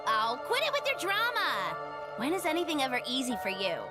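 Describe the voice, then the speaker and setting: A woman's voice: high voice, huge pitch swings, brisk, over loud music. A woman playing a breezy, teasing, loyal companion in a game, talking casually. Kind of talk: voiced game cutscene acting.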